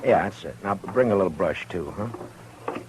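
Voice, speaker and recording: A man playing a calm frontier marshal in a 1950s radio western, unhurried and often chuckling in a dry way. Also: low-pitched, background noise. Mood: relaxed.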